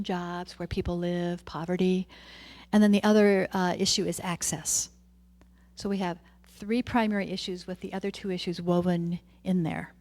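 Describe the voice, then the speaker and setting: A woman's voice: mid-range voice, darkish tone. Lady giving a health update at a city committee meeting, casual, goes through her slides. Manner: informal, with fillers and restarts, walking through slides. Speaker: public health official presenting to a committee.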